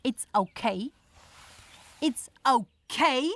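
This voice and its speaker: A woman acts out a young forest witch for a fantasy ASMR roleplay. Her voice sits on the high side and swings widely in pitch, at an unhurried pace. She is caring but easily rattled, hesitating and then blurting things out. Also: lively intonation, a bit bright, exaggerated voice. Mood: relieved, worried.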